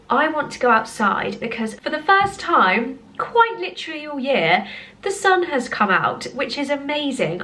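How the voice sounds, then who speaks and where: A woman's voice: mid-range voice, sharp tone. A woman talks casually to a handheld camera at home, her voice nasal from a cold. She is chatty and upbeat, the easy tone of a home decor vlogger. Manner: chatty and upbeat, frequent little laughs. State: has a cold. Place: at home, indoors.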